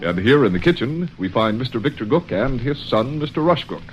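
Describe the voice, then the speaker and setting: A man's voice: mid-range voice, big pitch swings, fairly quick, faint music behind, poor audio. A man announcing a 1930s radio show, setting the scene in folksy, scripted storytelling.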